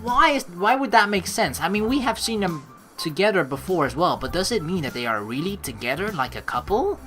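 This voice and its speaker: Lively low-voiced woman gamer. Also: fairly quick. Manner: casual, expressive, joking asides. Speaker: let's play host. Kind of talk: reading game narration aloud with casual commentary. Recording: soft game music behind. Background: game music.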